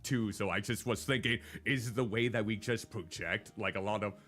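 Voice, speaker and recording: A man co-hosting a live stream in casual chat, with a naturally loud voice and a rambling, self-deprecating way of talking. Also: lowish voice, moderate pace, soft background music.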